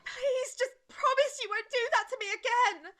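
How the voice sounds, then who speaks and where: A woman's voice: extremely high pitch, moderate pace, pushed and bright. An actress playing a distressed woman in an audio drama, tearfully pleading with and placating her violent partner.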